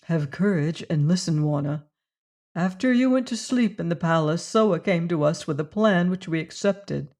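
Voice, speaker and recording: A reader voicing a stern, proud young man, firm and grave, with anger rising to loud lines. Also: mid-range voice, moderate pace, close to an everyday voice. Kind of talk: audiobook character voice.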